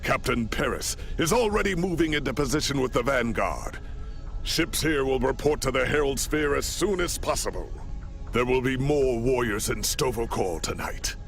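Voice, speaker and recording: A man's voice: mid-range voice, lively intonation, moderate pace, fairly bright tone. A man playing a grim, resolute military commander in a sci-fi game, formal and declamatory. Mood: resolute, rousing. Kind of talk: video game voice acting. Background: background music.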